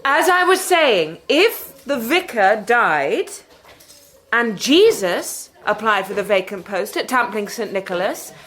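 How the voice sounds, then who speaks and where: A sardonic schoolteacher in a radio drama. Her voice is fairly high and expressive, with slow, deliberate phrasing. She has a commanding, deadpan irony. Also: exaggerated voice. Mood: sardonic, instructive. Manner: brisk classroom authority, deadpan irony. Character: defiant, sardonic woman schoolteacher giving a scripture lesson.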